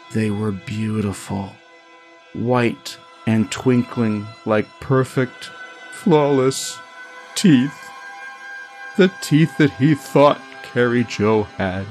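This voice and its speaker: A man narrating a scripted comic story in an animated, dramatic storytelling manner. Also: medium pitch. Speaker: narrator and writer of a comedy story podcast.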